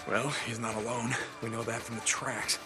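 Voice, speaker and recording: An actor playing a rugged, cynical American drifter in a video game, blunt and offhand, with a gruff, weary delivery. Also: male voice, mid-range voice, little pitch movement, moderate pace, music in the background, lightly acted. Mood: dry, blunt. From Oregon, United States. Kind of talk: naturalistic video game acting.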